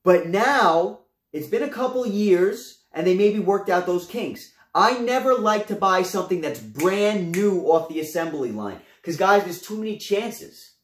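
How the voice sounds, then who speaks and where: Animated young man, high voice, roomy echo. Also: measured pace. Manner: animated, gestures a lot, addresses viewers as guys. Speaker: home gym reviewer. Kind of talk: casual product review to camera. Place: small room at home, indoors.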